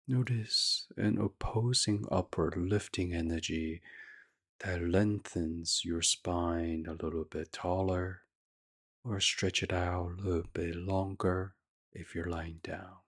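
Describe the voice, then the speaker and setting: An extremely unhurried man speaks in a soft, breathy near-whisper, guiding listeners toward sleep. His delivery stays flat and even, with long gentle pauses in an ASMR meditation style. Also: deep voice. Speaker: meditation podcast host.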